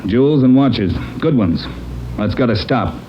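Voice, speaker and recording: An actor playing a harried, demanding chief of detectives, curt and authoritative, with an American accent, in an old radio drama. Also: male voice, mid-range voice, lively intonation, moderate pace, natural voice. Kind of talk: 1950s radio drama acting. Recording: dull vintage radio recording, faint noise.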